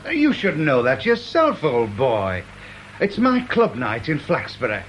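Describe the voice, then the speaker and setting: A man's voice: mid-range voice, wildly swooping pitch, unhurried, a little hiss or hum. An actor playing a breezy, sardonic British town rogue with old-boy bonhomie in a radio drama, on a slightly dull recording. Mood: nonchalant. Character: breezy, mocking, clubbable male town rogue.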